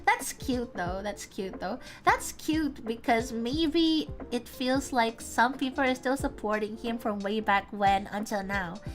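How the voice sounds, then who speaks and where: A woman's voice: on the high side, unhurried, hardly any pauses, faint music behind. A young woman with an Indonesian accent, whose first language is Indonesian, chatting casually on a livestream and reading viewer comments. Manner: chatty, reads viewer comments aloud, thinks out loud. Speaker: VTuber streamer.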